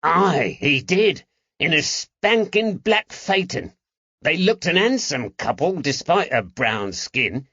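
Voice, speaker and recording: A man's voice: fairly high pitch, sing-song, slow, bright, forceful tone, husky, no echo, muffled recording, voice pushed up, heavily acted. A man voicing a snivelling, indignant Cockney moneylender in an audiobook, whining and pleading defensively. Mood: defensive, wheedling. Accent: London Cockney. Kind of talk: audiobook character acting.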